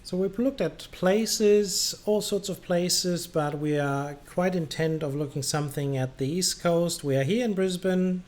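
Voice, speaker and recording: A man's voice: high-pitched, measured pace, few pauses, a little mellow. A man hosting a gaming livestream, chatting casually and hesitantly between air traffic controller calls. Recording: clearly noisy background.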